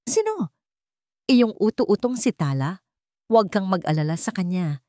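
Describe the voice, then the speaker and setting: A woman's voice: medium pitch, very animated, unhurried, warm tone, no echo, clean studio sound. A woman with a Filipino accent voicing a cold, calculating, cruel female schemer in a story, with scornful delivery.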